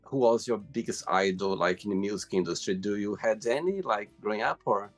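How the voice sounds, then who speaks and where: A man's voice: fairly low pitch, brisk. Male interview host over guitar music. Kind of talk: casual interview questioning.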